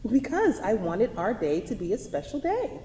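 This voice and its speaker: A woman playing a calm, affectionate, assured wife with understated delivery in a staged play reading. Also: fairly high pitch, moderate pace. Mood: gentle, teasing. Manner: brief, understated replies. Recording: echoey hall, faint noise.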